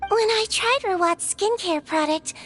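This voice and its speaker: A woman voicing a small, kindly nonhuman head nurse who speaks calmly and clinically in a video game. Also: extremely high pitch, unhurried, soft background music. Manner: gentle and composed, clinical.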